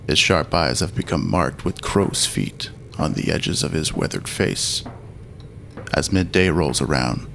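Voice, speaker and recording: A man's voice: very deep voice, gravelly, a bit bright, slight background noise. A man delivering scripted narration for an audio roleplay drama.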